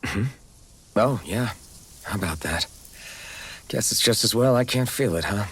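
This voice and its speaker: A man's voice: lowish voice, expressive, unhurried, fairly bright tone, husky, heavily acted. An actor playing an easygoing, earnest young man in a video game, casual and unruffled. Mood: nonchalant. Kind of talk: dramatic video game voice acting.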